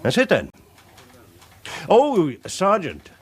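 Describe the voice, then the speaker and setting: An actor playing a stern British chief inspector in a radio drama, with a clipped, dry, authoritative manner. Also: male voice, high-pitched, huge pitch swings, slow pace, slightly rough, exaggerated voice. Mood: stern, commanding. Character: brisk, stern male chief inspector of police.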